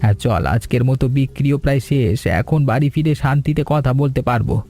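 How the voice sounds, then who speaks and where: A man voicing a kind, steady middle-aged husband and father in a cartoon story, talking in a calm, reassuring way. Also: medium pitch, normal speed, soft background music, lightly acted. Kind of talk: cartoon voice acting.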